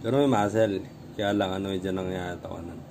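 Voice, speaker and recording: A man's voice: deep voice, fairly even intonation, brisk, noisy background. A man vlogging casually at home about his workout gear, rambling and repeating himself as he talks into a phone camera. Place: home room with gym gear.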